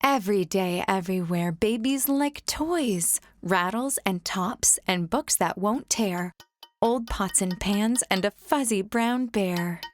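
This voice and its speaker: A woman's voice: mid-range voice, takes it slowly, a little hiss or hum. Woman reading a rhyming picture book. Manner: gentle, sing-song storytime delivery. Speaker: children's book reader.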